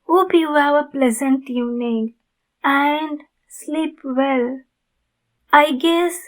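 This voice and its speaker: A woman voicing a kind, polite vampire neighbor in an ASMR roleplay, gentle and apologetic in manner. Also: sits high. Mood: grateful, kind.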